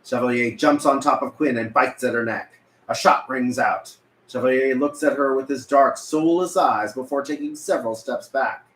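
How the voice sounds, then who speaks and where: A man's voice: mid-range voice, normal speed, fairly bright tone. A man reading scripted narration as narrator at a table read. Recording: echoey room, faint background noise.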